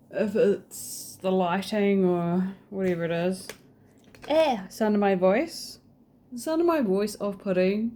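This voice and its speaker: A woman with a New Zealand accent talks slowly and casually to her camera, pausing often with ums and likes. Her pitch sits mid-range for a woman, and she drifts between thoughts with frequent restarts. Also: a little mellow. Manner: hesitant, many fillers and restarts. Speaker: vlogger. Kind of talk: casual rambling vlog.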